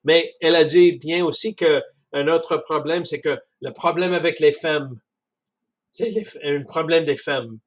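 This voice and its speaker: A man with a Canadian French accent talking casually about a book, halting often with restarts and chuckles. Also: on the high side. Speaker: speaker discussing a book. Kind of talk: casual spoken commentary.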